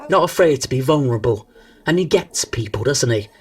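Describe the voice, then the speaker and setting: A man's voice: medium pitch, animated, a little fast, husky, distant mic. A man acting as a chatty, doting, anxious mother in a comic radio play, gossipy and coaxing. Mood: chatty, proud.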